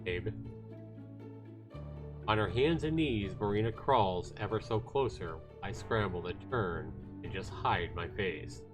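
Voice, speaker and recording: Male streamer, lowish voice, expressive reader of visual novel narration, faint music underneath. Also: slow pace. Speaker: livestreamer reading a visual novel aloud. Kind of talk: expressive story narration with asides. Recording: game music behind the voice.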